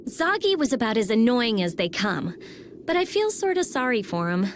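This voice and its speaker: A blunt guarded teen girl, game character, high voice, faint noise behind. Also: big pitch swings, moderate pace. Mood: irritated. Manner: brusque, guarded. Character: blunt, prickly teenage girl genius. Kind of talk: English video game voice acting.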